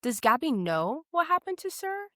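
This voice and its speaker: A woman speaks as a podcast co-host in an informal chat. She gushes as she comments and asks questions. Her voice is moderately high, captured very dry with no roominess. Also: sing-song, moderate pace, fairly bright tone, dry recording. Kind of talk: casual, gushing chat.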